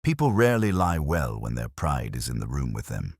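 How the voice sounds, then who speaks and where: Really low male voice reading a detective story, solemn and literary, lots of pitch movement, dry sound. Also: big pitch swings, brisk, slightly rough, no echo. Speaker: narrator. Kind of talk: scripted first-person detective story narration.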